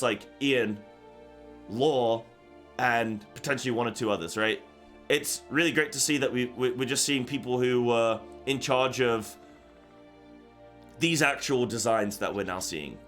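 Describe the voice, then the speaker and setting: A man's voice: mid-range voice, a bit bright. Man rambling casually over background music. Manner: rambling and repetitive, talking off the cuff. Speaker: gaming content creator and host. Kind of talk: casual reaction commentary on a game announcement.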